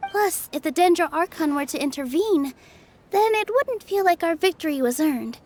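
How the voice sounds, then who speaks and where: A woman playing a gentle, earnest young theatre dancer in a video game, soft and hesitant in manner. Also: very high-pitched, lively intonation, normal speed, a little hiss or hum. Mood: flustered. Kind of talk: video game character acting. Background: music.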